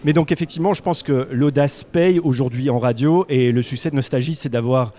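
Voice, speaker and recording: A man's voice: mid-range voice, fairly quick, warm tone. A man who grew up speaking Dutch, a Belgian radio executive speaking on a conference panel in an assertive, explanatory way.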